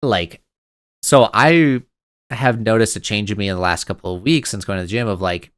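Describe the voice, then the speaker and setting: Young male co-host, excitable and rambling, lower voice, smooth tone. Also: dry recording, studio quality. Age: 20s. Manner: excitable, rambling, quick to laugh. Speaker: podcast co-host. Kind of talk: casual chat.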